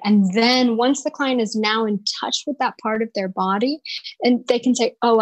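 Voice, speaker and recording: A woman's voice: medium pitch, no echo, poor audio. A woman voice and dialect coach answering interview questions on a live podcast, thoughtful and searching for words as she explains.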